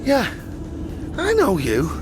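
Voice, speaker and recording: Very high-voiced excitable crook. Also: male voice, takes it slowly, background noise, full character voice. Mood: suspicious. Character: jumpy, suspicious male robber, excitable sidekick. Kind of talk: radio comedy acting.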